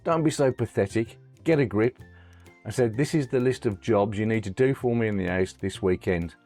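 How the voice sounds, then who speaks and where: A middle-aged man, hoarse from a cold, hosting a screen-recorded spreadsheet tutorial. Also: fairly low pitch, moderate pace, warm tone, faint music behind. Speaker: host of an Excel tutorial channel.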